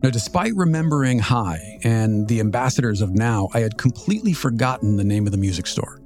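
A man in his fifties with an American accent, telling a scripted personal story as a podcast host in a measured, reflective, articulate way. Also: medium pitch, lively intonation, darkish tone. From Southern California, United States. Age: 50s. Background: music.

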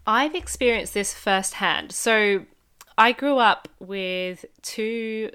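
A woman's voice: mid-range voice, slow, sharp tone, clean tone. A woman in her thirties with an Australian accent, hosting a casual solo business podcast and chatting upbeat and directly to the listener.

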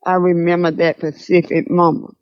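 A woman's voice: fairly low pitch, little pitch movement, normal speed, lightly acted. Lady playing a troubled grandma in an audio drama, formal and reflective, bit muffled, no room echo. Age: older. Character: troubled grandmother recalling her mother's death.

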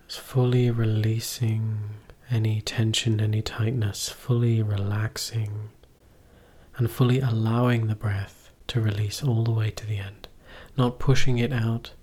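Male meditation teacher, husky voice, even and unvarying pitch, gentle short phrases, long pauses. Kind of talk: guided meditation.

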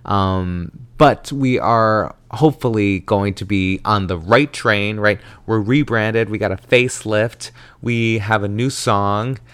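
A thirty-year-old man from the American South hosting his own podcast, talking solo in a chatty, animated way, dramatizing and wandering off on tangents. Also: fairly low pitch, takes it slowly, few pauses, smooth, no room sound. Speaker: podcast host.

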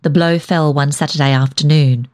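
A woman reading a short story as scripted narration, in a measured storytelling manner. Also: deep voice, steady tone, a little mellow. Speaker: story reader.

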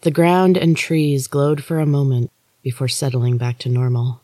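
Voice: medium pitch, level tone, normal speed, warm tone, no room sound, studio quality. A podcast reader narrating a first-person story from a script. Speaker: audiobook reader, podcast host.

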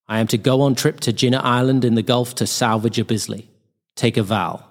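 A man reads short, clipped diary entries aloud. His pitch is middling for a male voice, and the delivery is that of a scripted reading. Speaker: diary reader.

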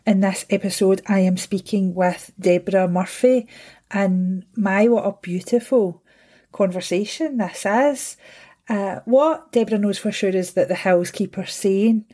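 A woman, hosting a podcast interview, warm and gushing as she introduces and encourages her guest. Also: mid-range voice, measured pace. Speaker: podcast host.

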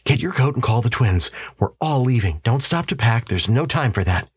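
A man's voice: medium pitch, animated, normal speed, husky, no room sound, pitched above the performer's own voice. A man voicing an urgent, commanding husband in a story, insistent and barking orders as if hunted. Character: urgent, commanding husband convinced of alien invasion.

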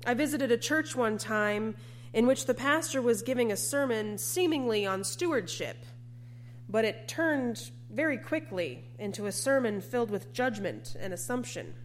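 Woman, preacher, slightly high pitch, measured emphatic sermon delivery, deliberate repetition, faint background noise and hum. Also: expressive.

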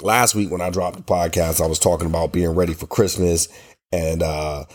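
Deep-voiced American man, congested with a cold. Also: steady tone, normal speed. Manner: colloquial, emphatic, repeats phrases for emphasis. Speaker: podcast host. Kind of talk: casual solo podcast talk, opinionated commentary.

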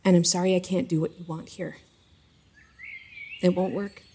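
A woman's voice: on the low side, little pitch movement, moderate pace, warm tone, slightly rough. Stubborn, stressed, emphatic woman. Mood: weary, apologetic. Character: stressed, stubborn, powerful woman telepath leading a cause. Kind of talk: fiction podcast drama acting.

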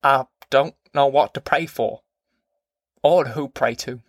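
A performer voicing a gentle, weary former preacher from a novel, quiet and plain-spoken. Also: mid-range voice, slow pace, no echo, clean studio sound. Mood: reluctant.

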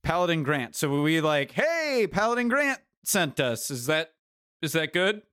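A man's voice: on the high side, very animated, measured pace, a bit bright, clear, resonant tone. A man playing in a roleplaying game podcast, improvising casual, goofy banter with rambling questions.

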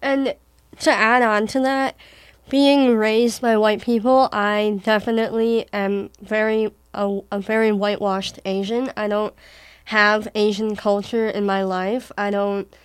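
A teenage girl from Wisconsin with a Wisconsin accent, talking with her mother in a thoughtful, halting way with frequent restarts. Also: medium pitch, little pitch movement, clean tone, dry recording. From Wisconsin, USA. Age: teens. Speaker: daughter in conversation with her mother. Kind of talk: casual conversation.

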